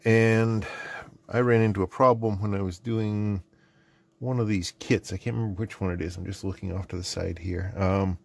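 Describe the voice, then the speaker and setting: A man's voice: lowish voice, fairly even intonation, normal speed, gravelly, a little mellow, no room sound. A middle-aged man giving casual unboxing commentary, rambling and thinking aloud as he goes. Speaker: host. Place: indoor hobby workbench.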